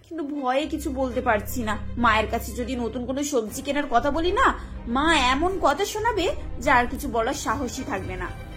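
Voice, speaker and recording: Woman as a high, anxious young woman. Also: a bit bright. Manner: worried, confiding.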